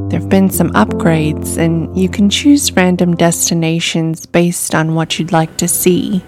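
Slow, low-voiced woman guiding meditation. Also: gravelly. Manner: calm, soothing, deliberate. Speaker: guided meditation narrator. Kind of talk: guided imagery meditation, scripted narration. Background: music.

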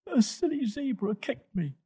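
A man's voice: very high-pitched, very animated, a little slow, mellow, pitched above the performer's own voice, exaggerated voice. A man voicing a small, naive young monkey in a children's story, meek, plaintive and apologetic. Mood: hurt, plaintive.

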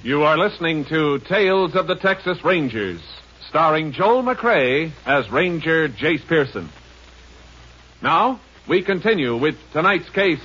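A man announcing a 1950s radio drama in a formal, declamatory way. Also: a little high. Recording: dull old radio broadcast.

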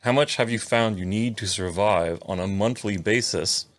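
A man's voice: lowish voice, moderate pace. A man hosting an interview, asking long, rambling questions packed with fillers and frequent tag questions. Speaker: interview host. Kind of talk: casual interview chat.

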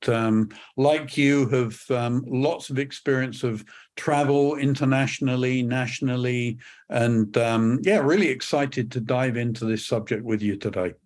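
An English man, a podcast guest, speaking in a measured way with frequent ums and restarts. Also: medium pitch, darkish tone. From England. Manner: measured, many fillers and restarts. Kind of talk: podcast interview.

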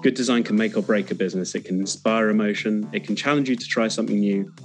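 A man's voice: on the low side, fast, soft background music. A man hosting an interview show, introducing topics and putting questions to guests. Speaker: podcast host interviewing a guest. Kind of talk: scripted introduction and conversational interviewing.

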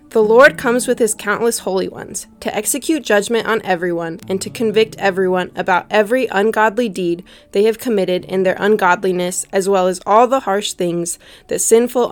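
A woman reading a scripture passage aloud from a script as a reader. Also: mid-range voice.

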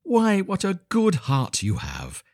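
A man voicing an admiring, comfortable storybook wife of a miller, gushing in drawn-out phrases. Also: high-pitched, huge pitch swings, darkish tone, no echo, clean studio sound, pitched above the performer's own voice, full character voice. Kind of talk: storybook character voice.

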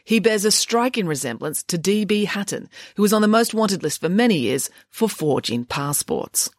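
A woman's voice: mid-range voice, expressive, moderate pace, no echo, studio quality, pitched above the performer's own voice, close to an everyday voice. A woman voicing a clever, self-assured schoolgirl detective in a storybook, explaining bluntly and matter-of-factly. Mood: insistent.

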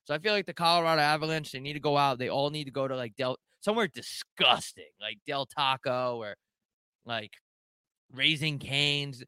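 Bright, crisp-voiced young male host. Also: medium pitch, normal speed, dry recording. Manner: profane, joking, loose and rambling. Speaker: show host taking live callers. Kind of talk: casual rambling chat.

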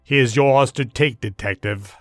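A man voicing an ominous, sneering male high priest with a cold, haughty, slow menace. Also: no echo, studio quality, soft background music, natural voice. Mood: menacing.

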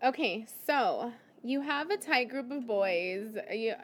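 A woman with a somewhat high voice hosts a casual conversation about dating. She asks her questions in a light, teasing way and keeps the tone playful. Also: expressive, slight background noise. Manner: playful, teasing, quick to laugh. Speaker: podcast host. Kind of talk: casual interview chat.

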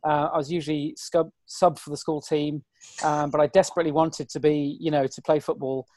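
A man in his forties, a guest on an interview, talking in a chatty, self-deprecating, rambling way with a hoarse, weary voice. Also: a little high, little pitch movement, brisk, darkish tone, dry recording. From Britain. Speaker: guest, ultrarunner. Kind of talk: casual interview chat.

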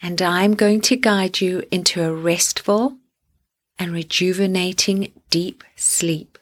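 A woman hosting a guided sleep meditation, speaking gently and soothingly in deliberate phrases with long pauses. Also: on the low side, fairly even intonation.

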